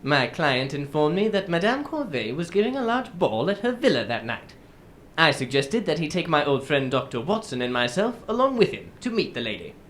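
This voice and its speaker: A woman's voice: sits low, big pitch swings, normal speed, slight background noise. A woman playing a reserved, businesslike male detective in a radio drama, formal and politely insistent. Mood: measured narrating.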